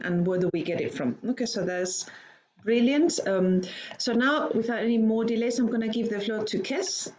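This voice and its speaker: A woman moderates an online training session in a brisk, businesslike way. She hands the floor to speakers with plenty of okays. Her voice is mid-pitched and comes through a computer mic on a video call. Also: darkish tone, poor audio. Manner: brisk, repeats "okay".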